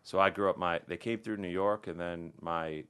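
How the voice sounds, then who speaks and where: A man from the American Midwest, a plastic surgeon speaking as a podcast guest in relaxed casual conversation, full of restarts and fillers. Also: deep voice, moderate pace, clear, resonant tone. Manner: relaxed, conversational, many restarts and "you know".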